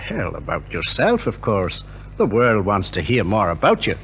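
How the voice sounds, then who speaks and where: An actor playing an earnest, flattering book publisher in a 1950s radio drama. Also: male voice, lowish voice, normal speed, heavily acted, poor audio. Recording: old radio recording, faint hum.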